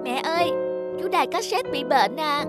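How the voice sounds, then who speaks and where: High little child cup, voiced by a woman. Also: normal speed, loud music behind the voice, close to an everyday voice. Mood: concerned. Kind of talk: dubbed cartoon dialogue.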